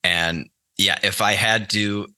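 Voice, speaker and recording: A low-pitched man with a very bright, hard-edged voice, recorded dry and close. He is from the United States and speaks as a podcast guest in casual, informal talk, working out his thoughts as he goes. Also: fairly even intonation, takes it slowly, clean tone, dry recording. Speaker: podcast guest, trader and former institutional investor.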